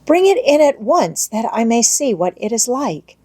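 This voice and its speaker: A woman voicing an eager young girl in a storybook, exclaiming with excitement and delight. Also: fairly high pitch, normal speed, breathy edge, voice pushed up. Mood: eager curiosity.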